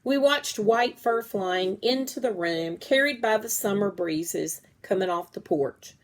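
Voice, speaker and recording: A woman reading a children's story aloud in a measured, deliberate storytelling way. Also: medium pitch, expressive. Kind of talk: read-aloud story narration.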